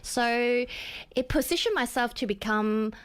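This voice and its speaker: A woman's voice: fairly high pitch, measured pace, clear, resonant tone. A woman leadership coach, a guest on a radio show, telling her career story in an earnest, reflective way with small slips of grammar. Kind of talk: interview storytelling.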